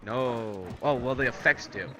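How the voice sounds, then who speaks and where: A man's voice: medium pitch, unhurried, crowd noise. A man in casual gaming chat, ribbing his co-host sarcastically and explaining game mechanics in detail. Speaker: co-host of a game discussion video.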